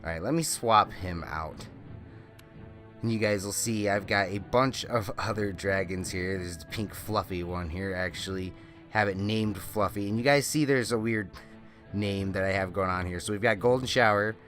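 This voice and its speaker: Male gaming host: low-pitched, a bit stuffed-up, rambling casual explainer, faint music underneath. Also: moderate pace, fairly bright tone. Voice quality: slightly nasal from a cold. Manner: rambling, self-correcting explanations. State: congested with a lingering cold. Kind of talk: casual game walkthrough commentary.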